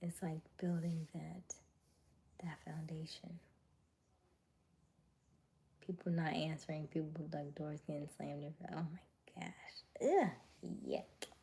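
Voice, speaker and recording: A woman with a low, mellow voice that has a noticeable gravelly fry chats casually with her viewers. She is relaxed and talkative, often dropping into a soft whisper between louder remarks. She sounds like a young adult speaking into a simple home mic. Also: slow, long pauses. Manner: chatty, laughs often, drops to soft whispers. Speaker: livestream host chatting with viewers. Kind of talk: casual live chat reading viewer comments.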